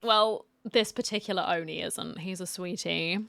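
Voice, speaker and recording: A woman's voice: lowish voice, normal speed, vocal fry, fairly bright tone. A woman streamer giving casual reaction commentary on a game and reading bits of it aloud, recorded on a close mic.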